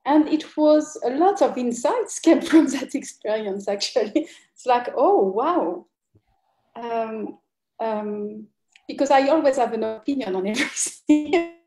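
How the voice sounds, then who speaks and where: A woman sharing personal reflections casually and haltingly on a group video call. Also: fairly high pitch, animated, warm tone. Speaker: participant in an online group conversation. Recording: roomy, echoey video call.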